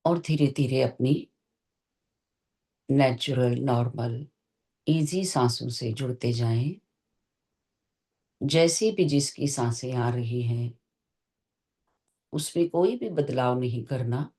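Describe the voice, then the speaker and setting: A meditation teacher giving guided instructions gently and deliberately, in short phrases with long pauses. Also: mid-range voice. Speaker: meditation teacher leading a session.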